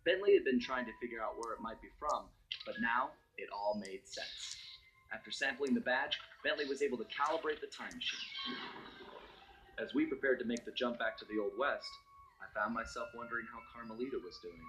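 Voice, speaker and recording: An actor playing a suave raccoon master thief, narrating game cutscenes in a wry, smooth storytelling manner. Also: male voice, medium pitch, brisk, noisy background, lightly acted. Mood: determined. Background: background music.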